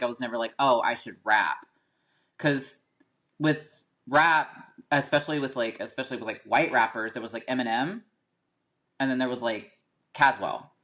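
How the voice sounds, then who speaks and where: A man's voice: medium pitch, a bit bright. Chatty man, lots of fillers. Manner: chatty, filler-heavy, frequent "like" and "um". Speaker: podcast guest, rapper. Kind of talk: casual interview storytelling.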